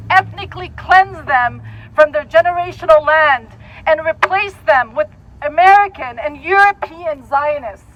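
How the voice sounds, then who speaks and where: A woman speaks at a street rally with a very high, bright voice. She reads a firm, prepared statement in a steady, deliberate way. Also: low-quality recording. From Puerto Rico. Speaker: protester. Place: outdoors on a city street.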